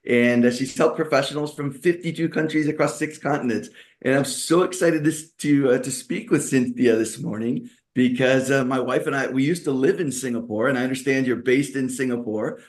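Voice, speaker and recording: A man's voice: medium pitch, a little fast. A man hosting a podcast interview, enthusiastic and welcoming, often restarting his sentences. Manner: enthusiastic, with many restarts and fillers. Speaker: podcast host.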